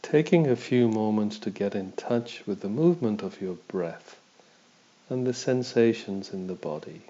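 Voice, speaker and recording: A man guiding a body scan meditation as a teacher, in a calm, measured, instructional manner, on a dull, muffled recording. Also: lowish voice.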